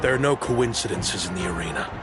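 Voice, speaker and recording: A man's voice: sits low, lively intonation, fast, loud music behind the voice. A man voicing a cocky, battle-hungry arena fighter in a video game. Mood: confident challenge.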